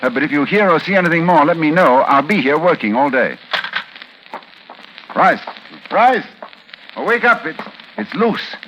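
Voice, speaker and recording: A man's voice: on the high side, animated, moderate pace, long pauses, lo-fi sound, a little hiss or hum. A man acting a scholarly doctor in a 1940s radio horror drama, grave, intense and desperate as he narrates. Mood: frantic. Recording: 1940s radio recording with loud music.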